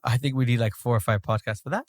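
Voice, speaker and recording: Man, belgian accent, rabbi guest, discursive, mid-pitched, dry close sound. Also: fairly even intonation, quick pace, no echo. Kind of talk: reflective conversational interview.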